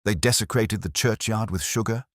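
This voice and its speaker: A man's voice: on the low side, normal speed, no echo, studio quality, voice pushed up, lightly acted. A man voicing a prim, self-important, easily affronted village chairwoman in a storybook mystery. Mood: scandalised.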